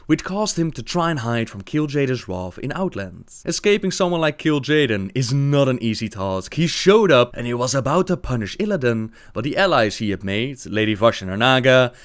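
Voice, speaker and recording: A man giving a storytelling narration as he recaps a video game's story. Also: medium pitch.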